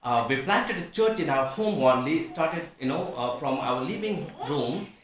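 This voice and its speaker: A man in his forties from Nepal, with a Nepali accent, speaking earnestly to a congregation as a visiting pastor, halting often with fillers and restarts. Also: fairly high pitch, expressive, a bit bright. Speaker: visiting pastor and church planter. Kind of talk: church talk to a congregation. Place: church hall. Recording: echoey church hall from far off.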